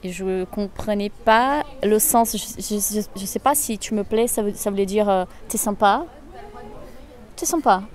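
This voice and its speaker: A woman's voice: mid-range voice, measured pace, darkish tone. Brazilian woman speaking hesitant French. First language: Portuguese. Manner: searching for words, hesitant. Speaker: interviewee.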